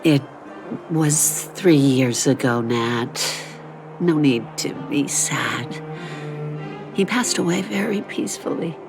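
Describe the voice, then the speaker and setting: A woman playing a tender, dignified elderly mother in a video game, speaking in a soothing, measured way. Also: sits low, expressive, exaggerated voice. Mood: consoling. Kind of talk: video game story voice acting. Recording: faint music behind the voice.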